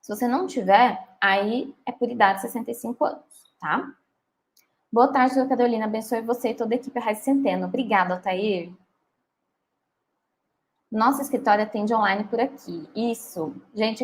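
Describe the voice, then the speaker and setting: A woman's voice: mid-range voice, rough recording. A woman lawyer, likely in her thirties, answering viewer questions in a chatty, reassuring live session. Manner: chatty and reassuring, often ends with "tá?". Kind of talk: live Q&A, reading comments aloud.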